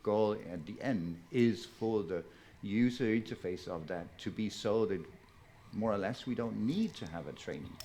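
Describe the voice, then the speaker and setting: A man speaking on a conference panel in a measured way, carefully qualifying his arguments. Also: lowish voice, warm tone, slight background noise. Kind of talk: panel discussion. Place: conference breakout room.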